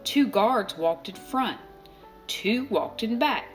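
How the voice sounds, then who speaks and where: A woman narrating a children's storybook aloud, expressively and deliberately, with dramatic pauses. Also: medium pitch, expressive. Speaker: storybook reader. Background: music.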